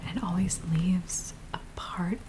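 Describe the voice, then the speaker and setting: A woman playing a frightened villager in a soft, breathy, whispered ASMR roleplay, speaking in a hushed, hesitant way. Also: fairly low pitch, close to an everyday voice. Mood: fearful. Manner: hushed, hesitant, slow storytelling. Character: frightened village woman pleading with a werewolf tracker. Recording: steady rain-like background noise. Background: rain sounds.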